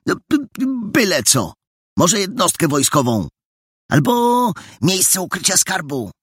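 A man voicing an excitable, imaginative boy detective who talks eagerly and insistently. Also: medium pitch, unhurried, breathy edge, no room sound, clean studio sound, voice pushed up, heavily acted.

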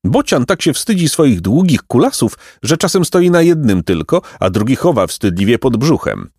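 A man voicing a vain, pompous, aristocratic pelican with a shrill squawking voice for a storybook. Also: big pitch swings, moderate pace, darkish tone, no room sound, clean studio sound. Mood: haughty. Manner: pompous, haughty, florid exclamations. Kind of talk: storybook character voice.

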